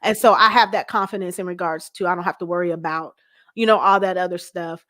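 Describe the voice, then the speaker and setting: A woman speaks as an interview guest in a voice a little lower than most. Her delivery is casual and candid, explaining things plainly, and the sound is very dry with no room echo. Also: steady tone, fast, bright, forceful tone. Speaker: guest, church first lady.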